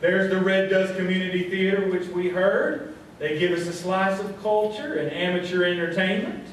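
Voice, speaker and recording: A man's voice: high voice, fairly even intonation, normal speed. A man hosting a live small-town radio variety show in a folksy style, talking to the folks. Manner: folksy, addresses the audience as folks. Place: live show before an audience. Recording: echoey hall, distant mic, faint crowd noise.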